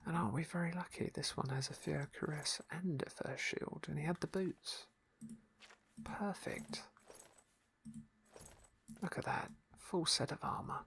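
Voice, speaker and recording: A man's voice: fairly high pitch, unhurried, creaky, long pauses, a little hiss or hum. A man whispering wry commentary as he plays a fantasy game for ASMR. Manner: soft, wry, playful asides.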